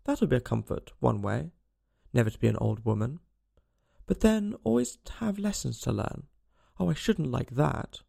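A man's voice: mid-range voice, lively intonation, normal speed, creaky, warm tone. A man voicing a puzzled young girl who muses wistfully and chides herself, in a storybook reading. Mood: rueful. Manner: wistful, self-chiding.

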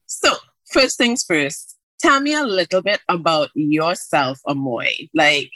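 A woman hosting a podcast, reading an introduction and then asking friendly, excited questions, often laughing. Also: deep voice, slow pace, no room sound. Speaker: podcast host. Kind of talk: podcast interview.

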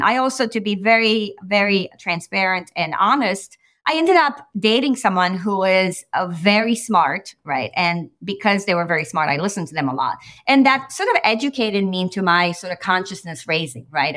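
A woman from Romania, a therapist in her forties, speaking as a guest on a podcast in an animated, emphatic way, often checking in with a quick "right?". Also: mid-range voice. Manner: animated, emphatic, tosses in "right?" and quoted asides. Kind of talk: podcast interview.

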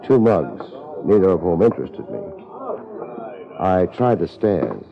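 A laid back tough american detective, real low voice, dry wry narration, 50s radio show, dull old recording. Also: male voice, a little slow, creaky, exaggerated voice. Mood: cool, deadpan. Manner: wry, deadpan, clipped. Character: laid-back, self-deprecating, tough male private investigator. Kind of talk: 1950s radio detective drama acting and narration. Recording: muffled old radio recording with loud music.

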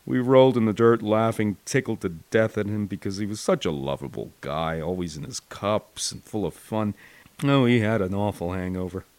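An American man with a fairly low voice narrates a wartime memoir. He reads from a script like a storyteller, expressive and dramatic. The recording is dry, with very little room sound. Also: moderate pace, dry recording. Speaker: audiobook narrator. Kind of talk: scripted first-person war memoir narration.

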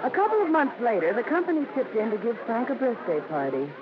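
An actor narrating a family story fondly, in old-time radio drama style. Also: male voice, very high-pitched, wildly swooping pitch, fast talker, heavy background noise, heavily acted. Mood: fond, storytelling. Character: narrator fondly recounting his or her mother's stage career. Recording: dull old radio broadcast with music.

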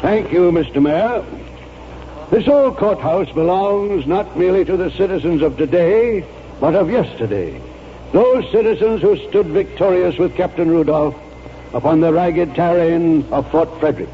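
A man's voice: on the high side, lively intonation, normal speed, a little hiss or hum, natural voice. A man acting a proud, earnest old colonel in a 1940s radio drama, speaking in formal, impassioned oratory. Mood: oratorical. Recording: dull 1940s radio recording, loud music.

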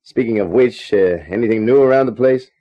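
An actor playing a wry, wisecracking private detective who narrates a 1950s radio drama. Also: male voice, medium pitch, fairly quick, natural voice. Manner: dry, wisecracking, laconic. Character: wry hard-boiled male private eye. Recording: dull 1950s radio recording.

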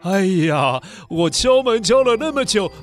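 A man's voice: high-pitched, sing-song, unhurried, faint music behind. A man voicing a polite, sheepish father in a children's audio story, chuckling and coaxing as he asks for a favor. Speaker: voice actor.

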